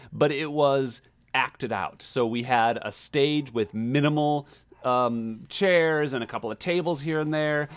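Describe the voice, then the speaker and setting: A man's voice: medium pitch, clean tone. A man hosting a podcast in a casual, chatty style, rambling as he goes. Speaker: podcast host.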